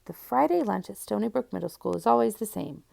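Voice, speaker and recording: A woman reading a children's storybook aloud in an expressive first-person narration. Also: medium pitch, a little fast, gravelly. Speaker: audiobook reader.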